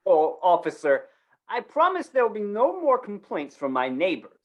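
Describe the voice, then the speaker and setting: A flustered householder played by an actor in an old-time radio play. The voice is mid-pitched and very animated, pleading and exclaiming, with slightly dull sound. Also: moderate pace. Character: flustered householder Mister Korlanovich. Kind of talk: 1950s radio play acting.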